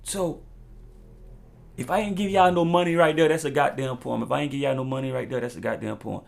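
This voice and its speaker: A man's voice: medium pitch, a little fast, vocal fry. A man from the United States delivering an impassioned, freestyle monologue as a talk show host, preaching in profane street slang with emphatic repetition and loose rhymes.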